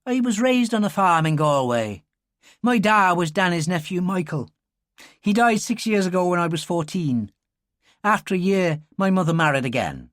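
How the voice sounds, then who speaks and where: Brief: fierce young Irish country girl, read by a male narrator, high and lively, dry close sound. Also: moderate pace, no room sound. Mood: eager. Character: fierce young Irish farm woman, twenty.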